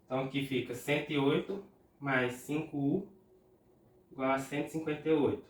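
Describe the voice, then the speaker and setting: A man's voice: mid-range voice, steady tone, reverberant, distant mic. A man with a Brazilian Portuguese accent, nasal and stuffed up from a cold, patiently working an exam math problem step by step aloud. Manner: patient, talks through arithmetic aloud slowly. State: congested from a cold. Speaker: math tutor.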